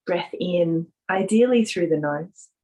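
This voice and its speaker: A woman leading a guided visualisation meditation, speaking softly in a gentle, soothing manner with drawn-out pauses. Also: lowish voice.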